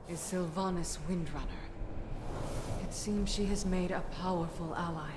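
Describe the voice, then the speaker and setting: A woman's voice: fairly low pitch, fairly even intonation, normal speed, noisy background, natural voice. A woman playing a resolute, troubled human mage leader in a fantasy video game, earnest and commanding. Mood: grave, troubled. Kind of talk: video game drama acting. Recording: loud music behind.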